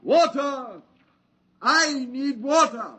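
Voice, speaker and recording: A fervent wandering idealist in a 1950s radio play. His voice is high for a man and slowly paced. He speaks solemnly, as if preaching. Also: pushed and bright, heavily acted. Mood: desperate calling. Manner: solemn, declamatory. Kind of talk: 1950s radio drama acting.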